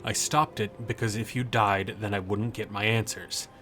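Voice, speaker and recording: A man's voice: lowish voice, fairly even intonation, moderate pace, sharp tone, soft background music. A man playing an aloof, detached Grim Reaper in an audio drama, speaking in terse, matter-of-fact lines. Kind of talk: scripted audio drama acting.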